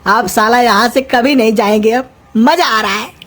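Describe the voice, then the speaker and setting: Woman voicing a high-pitched cheeky scheming young man. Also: normal speed, fairly bright tone, a little hiss or hum, close to an everyday voice. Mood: sly, gleeful. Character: cheeky, scheming young male pumpkin-nicknamed servant. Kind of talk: Hindi cartoon comedy voice acting.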